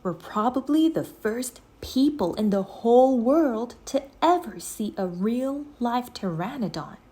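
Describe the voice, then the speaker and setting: A woman's voice: on the high side, animated, unhurried, warm tone, pitched above the performer's own voice. A woman voicing a cautious, skeptical young boy in a children's storybook, with a dry, matter-of-fact delivery. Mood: dismissive. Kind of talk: children's storybook read-aloud.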